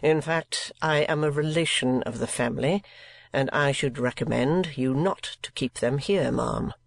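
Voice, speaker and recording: A woman voicing a blunt, stern gentleman in a storybook reading, speaking in a clipped, deliberate way. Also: extremely low pitch, creaky, no room sound, clean studio sound, heavily acted.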